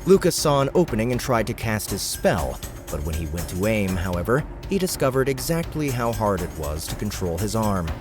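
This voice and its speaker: A man reads an audiobook in a steady, measured narrating voice. His voice sits low, and clear music plays underneath throughout. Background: background music.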